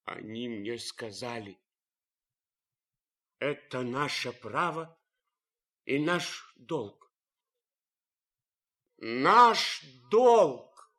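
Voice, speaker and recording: An actor playing an anguished, idealistic soldier torn by conscience in a radio drama, theatrical and swinging from hushed to fervent. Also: male voice, mid-range voice, lively intonation, drawn-out words, long pauses. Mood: anguished, pleading. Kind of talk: radio drama acting, impassioned monologue.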